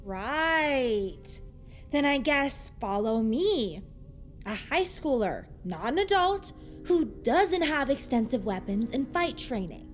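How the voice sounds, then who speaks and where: Teenage ringleader, high swooping voice, commanding and sarcastic, for comic audio drama. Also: female voice, sing-song, slow, faint music behind, heavily acted. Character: bossy sarcastic teenage girl leading her friends. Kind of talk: audio drama comedy acting.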